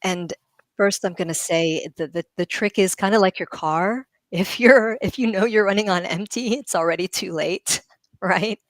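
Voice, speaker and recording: A middle-aged woman speaking as a leadership guest on a livestream panel, animated and laughing often as she explains ideas with vivid comparisons. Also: medium pitch, moderate pace, dry recording.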